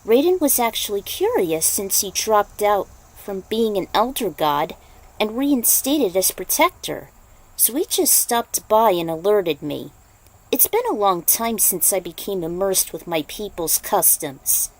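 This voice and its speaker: Woman playing a composed, slow-spoken shaman warrior. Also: mid-range voice, very animated, poor audio. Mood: matter-of-fact.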